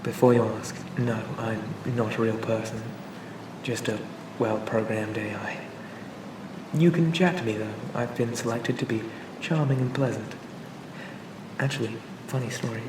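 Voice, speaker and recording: A calm and pleasant male AI companion acted by a man for an ASMR roleplay. He speaks gently and reassuringly in a narrow, even pitch. The recording sounds distant and echoey, with clear ambient noise behind him. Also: unhurried, lightly acted. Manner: gentle, hesitant, reassuring, conversational. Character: charming, pleasant male AI personal assistant for a police officer.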